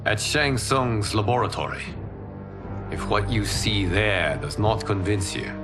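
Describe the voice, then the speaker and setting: A diplomatic ruler who guards his realm, in a game cutscene. His deep voice is composed, formal and measured, with music plainly underneath. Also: animated. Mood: grave, diplomatic. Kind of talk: dramatic video game cutscene acting.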